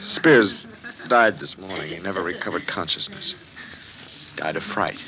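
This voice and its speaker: An actor playing a grave man bearing dreadful news in a 1940s radio drama, somber and halting. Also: deep voice, a crowd in the background, poor audio. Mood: grave, shaken.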